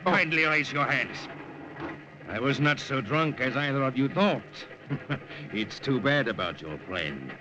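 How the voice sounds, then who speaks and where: An actor playing a genial, tipsy but shrewd army officer in a 1950s radio drama. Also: male voice, mid-range voice, lively intonation, measured pace, lightly acted. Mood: coldly menacing. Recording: noisy, muffled old radio broadcast.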